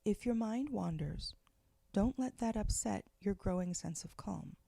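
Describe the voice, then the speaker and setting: A woman leading a guided meditation, giving slow, soft, gentle instructions with long pauses. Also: mid-range voice, animated, vocal fry, dry recording.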